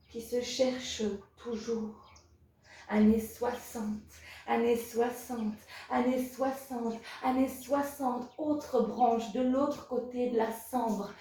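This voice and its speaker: A woman from Belgium reciting spoken-word poetry as a slam poet, in a measured, rhythmic, incantatory way. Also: a little high, warm tone, a little hiss or hum. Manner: measured, rhythmic, incantatory delivery with repeated refrains. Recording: very echoey, distant from the mic.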